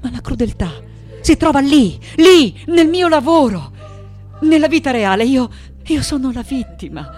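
Old woman artist, bitter n defiant, theatrical radio play, hushed to loud, music under it. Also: on the low side, animated, a little slow, long pauses, breathy edge. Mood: raging, powerful. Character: elderly, wounded, defiant, vengeful woman sculptor. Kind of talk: dramatic radio theatre monologue.

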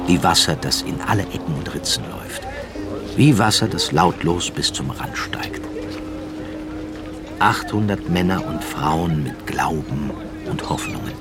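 A man narrating a literary radio drama in a measured, solemn style with dramatic phrasing. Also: deep voice, background noise. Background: prominent music.

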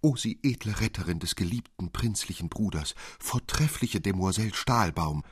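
A man's voice: deep voice, expressive, moderate pace, slightly rough, dry recording, studio quality. A man voicing elegant, tender princesses with effusive, flowery speech in a storybook reading. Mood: grateful.

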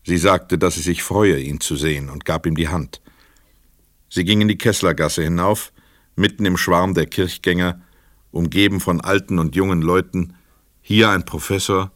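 A man reading scripted literary narration for a radio play in a measured, precise storytelling manner. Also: low-pitched, a bit bright, no echo, clean studio sound.

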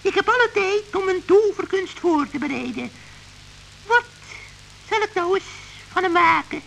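A woman's voice: very high voice, animated, moderate pace, creaky, a bit bright, slight background noise, full character voice, rough recording. A woman voicing a scheming, gloating female forest witch in a children's radio play, cackling and drawing out her words.

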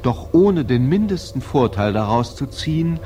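A male actor as a wry storyteller in a German-style literary radio drama narrates with precise, rhythmic phrasing. His voice sits at an ordinary middle pitch and keeps a measured, observant tone. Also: big pitch swings, dark tone, slight background noise, natural voice.

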